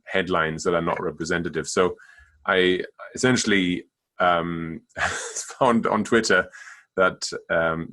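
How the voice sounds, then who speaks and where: A middle-aged man with a German accent, a palliative care doctor giving a conference talk, often halting and repeating words as he goes. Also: sits low, fairly bright tone.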